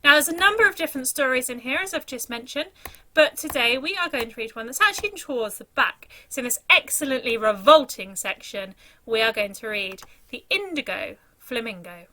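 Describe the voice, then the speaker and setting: A woman's voice: high-pitched, expressive, brisk, pushed and bright. A woman reading a children's story aloud in a dramatic, theatrical storytelling manner.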